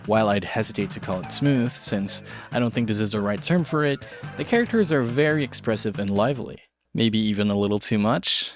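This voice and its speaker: A man's voice: on the low side, fairly quick. Casual male tutorial host with music behind. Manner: casual, playful, tongue-in-cheek asides. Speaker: video show host, art tutorial presenter. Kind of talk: semi-scripted art tutorial narration with casual asides.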